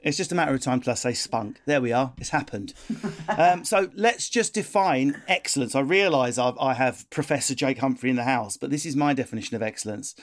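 A man hosting a comedy podcast, cheeky and playful with jokey asides. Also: medium pitch. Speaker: podcast host. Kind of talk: comic podcast chat.

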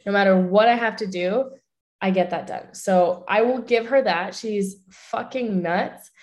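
A woman's voice: medium pitch, darkish tone. A young woman with a French accent and French as her first language, an actress talking candidly and swearing freely in a casual interview. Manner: candid, swears freely, talks in quick bursts. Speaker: voice actress and social media manager.

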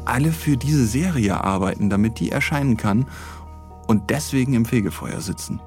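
A man's voice: mid-range voice, lively intonation, normal speed, darkish tone, faint music behind. A man hosting a podcast, retelling things in a casual, humorous, chatty way. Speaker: podcast host.

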